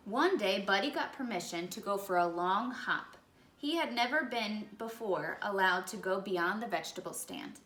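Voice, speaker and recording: A woman's voice: mid-range voice, lively intonation, moderate pace, fairly bright tone. A woman reading a children's story aloud expressively, as a storyteller to young listeners. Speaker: picture-book reader, educator. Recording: echoey room.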